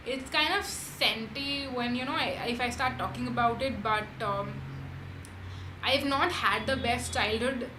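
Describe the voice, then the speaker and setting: Chatty young Indian woman, echoey room. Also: a little high. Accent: Indian English. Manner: chatty, frequent "um" and "like". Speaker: beauty vlogger. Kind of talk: casual chat answering tag questions. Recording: echoey room with background noise.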